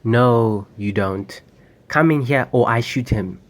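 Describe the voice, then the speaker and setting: A man's voice: mid-range voice, slow pace, lightly acted. A young man acting a commanding, impatient armed bank robber in an amateur radio drama. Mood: impatient threats. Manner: commanding, curt. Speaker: student actor.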